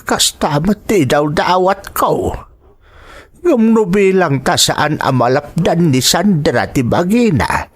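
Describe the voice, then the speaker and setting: A man acting an elderly, concerned Filipino grandfather in an audio drama, speaking in a deliberate, soothing manner. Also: on the high side, big pitch swings, husky, heavily acted.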